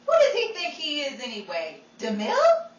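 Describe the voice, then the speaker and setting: A woman's voice: high voice, huge pitch swings, moderate pace, lo-fi sound. A woman playing an indignant, theatrical actress in old-time radio style. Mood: scornful, dramatic. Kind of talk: 1940s radio acting. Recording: roomy, distant mic, noisy home recording.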